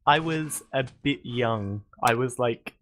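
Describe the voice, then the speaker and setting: A man's voice: medium pitch, slow, a little hiss or hum. Young man chatting with easy hesitation. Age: young adult. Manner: hesitant, relaxed, trails off with fillers. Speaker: co-caster. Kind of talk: casual chat.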